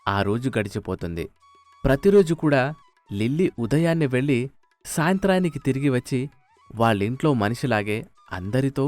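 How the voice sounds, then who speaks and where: A man's voice: mid-range voice, darkish tone, no room sound. A man narrating a scripted moral story as a storyteller, with music behind him. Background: faint music.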